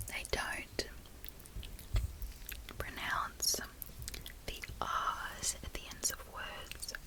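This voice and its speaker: An American woman speaking in a soft, breathy ASMR whisper, lingering over and repeating her words. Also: poor audio. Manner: slow, lingering repetition of words. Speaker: ASMR video creator.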